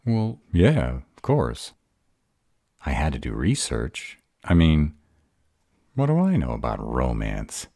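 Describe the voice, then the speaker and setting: A gruff were-dragon in love, acted by a man, speaks in an extremely low, dark voice. He is earnest and easily flustered, hesitating over tender words in an intimate romantic roleplay, with lively swings of pitch. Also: slow, long pauses, no echo, clean studio sound, exaggerated voice. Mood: bashful, relieved. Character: gruff, bashful male were-dragon lover in human form. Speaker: voice actor in roleplay audio.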